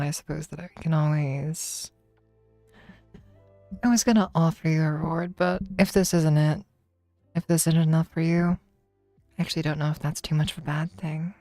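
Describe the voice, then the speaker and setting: A woman streamer with a slightly hoarse voice, talking slowly and teasingly in a relaxed livestream chat. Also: low voice, dry recording. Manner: slow, teasing, drawn-out praise.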